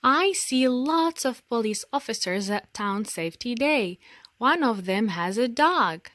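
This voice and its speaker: Slow, animated woman reading a children's story. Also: a little high, no echo. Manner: deliberate, expressive storytelling. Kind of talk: picture book read-aloud for young children.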